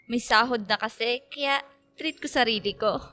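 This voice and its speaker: A woman's voice: a little high, moderate pace, pushed and bright, faint music behind. A woman with a Filipino accent playing a chatty, pushy young best friend and matchmaker in a radio drama. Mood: breezy, friendly.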